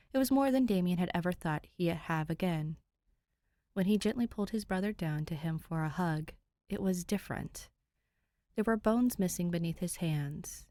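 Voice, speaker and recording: A woman reading fan fiction aloud and chatting to listeners in an expressive way. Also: lowish voice, no room sound, clean studio sound. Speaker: fan fiction podfic reader. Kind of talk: story narration and casual chat to listeners.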